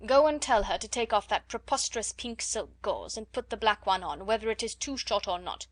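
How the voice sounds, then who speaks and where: A hard, cold schoolmistress of middle age, as a woman reader plays her. She speaks in clipped, scornful orders, leaning loud on each command. The storybook recording is dry and close. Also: medium pitch, lively intonation, a little fast, dry recording, lightly acted. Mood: harsh.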